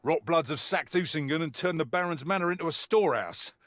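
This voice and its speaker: An actor playing a wry, world-weary mercenary soldier in a video game, with a colloquial, grumbling delivery. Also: male voice, on the high side, brisk, a bit bright, no echo, clean studio sound, lightly acted. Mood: grim, determined. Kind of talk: scripted in-character mission briefing monologue.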